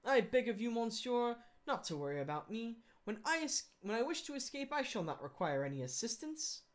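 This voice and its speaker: A woman reading aloud gives an emphatic, mocking voice to a self-assured male gentleman thief in an audiobook. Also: a little high, big pitch swings, brisk. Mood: confident. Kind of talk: audiobook character voice.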